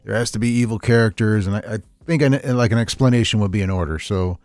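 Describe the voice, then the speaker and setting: A man's voice: lowish voice, quick pace, a little mellow, no room sound. A man hosting an unscripted podcast, talking casually in a rambling, opinionated way. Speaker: podcast host.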